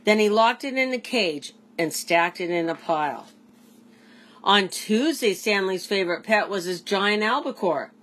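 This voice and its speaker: A woman, a teacher, reading aloud to children in an expressive storybook style. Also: medium pitch, measured pace.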